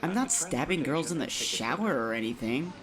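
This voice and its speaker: A man's voice: fairly high pitch, expressive, normal speed, fairly bright tone, slight background noise, poor audio. A man playing an earnest, anxious schoolboy hero in an audiobook drama. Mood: casual, awkward.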